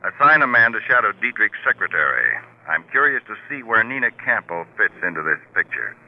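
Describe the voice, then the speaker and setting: A deep-voiced, brisk federal agent. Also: male voice, sing-song. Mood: businesslike. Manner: crisp, businesslike orders. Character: brisk middle-aged male federal agent in charge. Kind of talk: 1940s radio acting. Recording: tinny old radio broadcast.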